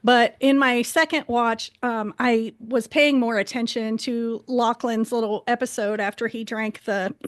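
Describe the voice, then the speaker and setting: A woman's voice: a little high, dry recording, clean studio sound. A woman hosting a podcast in casual chat, explaining things in a hesitant, circling way. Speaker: podcast host.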